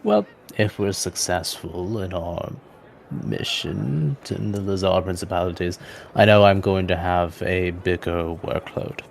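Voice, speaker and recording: Man playing a deep-voiced, weary, guarded adventurer. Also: unhurried, vocal fry, slight background noise. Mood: guarded, serious. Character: weary, guarded male storm-wielding adventurer. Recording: voice over prominent background music.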